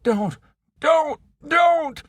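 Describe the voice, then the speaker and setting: A man's voice: sing-song, drawn-out words, fairly bright tone, husky, dry recording, full character voice. A man doing a quavering, frail old professor. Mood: hysterical pleading. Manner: high, pleading, near-hysterical. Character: frail, terrified elderly male scientist.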